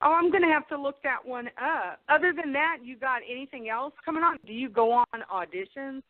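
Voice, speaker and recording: A thin, phone-like line carries a fairly high female voice. She is an interview host, asking and responding in a casual, conversational style. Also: fairly quick.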